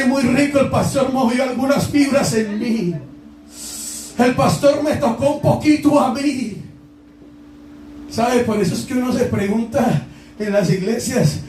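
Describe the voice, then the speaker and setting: Colombian man, pastor, impassioned sermon, very high pitch, roomy echo. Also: a little mellow, far from the mic, slight background noise. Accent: Colombian Spanish. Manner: impassioned, exclaiming, direct address to the congregation. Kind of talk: preaching a sermon. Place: church hall.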